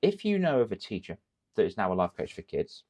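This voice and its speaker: English male coach, deep register, upbeat to-camera pitch, asks and answers his own questions. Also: brisk. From England. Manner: energetic, coaching tone with rhetorical questions. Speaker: confidence coach. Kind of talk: direct-to-camera business advice.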